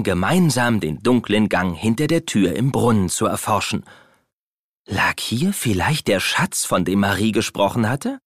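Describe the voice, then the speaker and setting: A man's voice: fairly low pitch, no echo, clean studio sound. A man narrating a children's audio play in scripted storybook style.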